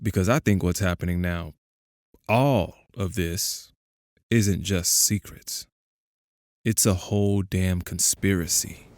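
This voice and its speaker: A man's voice: sits low, slow pace, a little mellow, dry recording, studio quality. A man playing a bitter, sardonic conspiracy believer in an audio drama, talking in an accusing, emphatic way. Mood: insistent, challenging. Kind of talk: audio drama, naturalistic phone conversation.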